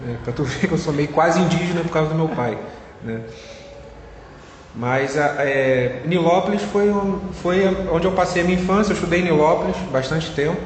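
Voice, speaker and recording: Young carioca man, physics student interviewee; halting, filler-heavy casual talk; echoey room, faint noise. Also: a little high. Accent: Carioca Brazilian Portuguese. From Rio de Janeiro, Brazil. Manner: hesitant, many fillers and restarts.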